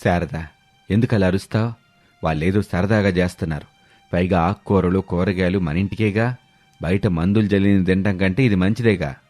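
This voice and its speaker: A man voicing a mild older father-in-law in a story, calmly reasoning and placating. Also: deep voice, moderate pace, no room sound, faint music behind, natural voice. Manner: calm, reasoning.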